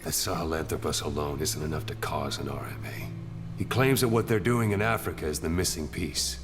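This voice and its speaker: An actor playing a coolly analytical male military interrogator, measured and matter-of-fact, in a dramatic video game. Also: a bass voice, noisy background. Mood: grave, explanatory. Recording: long echoing reverb under music. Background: music.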